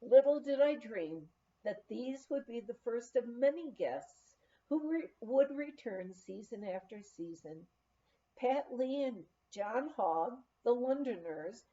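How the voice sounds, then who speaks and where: A woman with a fairly high, mellow voice reads a memoir aloud. Her storytelling is expressive, with plenty of rise and fall in the melody. The recording is a little dull. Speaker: audiobook reader. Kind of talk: reading a memoir aloud, scripted narration.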